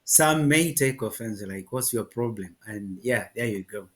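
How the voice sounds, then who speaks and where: A man's voice: on the low side, expressive, a little slow, a bit bright. A man, hosting a conversational interview and repeating phrases for emphasis. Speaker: interview host.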